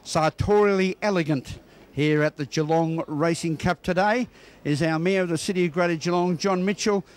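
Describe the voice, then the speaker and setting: A man's voice: on the high side, moderate pace. An Australian man presenting and interviewing for local TV news, with florid, wordy phrasing.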